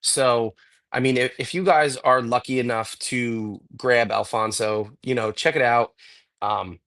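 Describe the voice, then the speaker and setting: A man co-hosting a podcast, a little hoarse and congested from a cold, rambling enthusiastically in casual chat with lots of fillers. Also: mid-range voice, fairly even intonation, measured pace, a bit bright. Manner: rambling, enthusiastic cigar talk with many fillers. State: getting over a cold, a bit hoarse and congested. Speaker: podcast co-host.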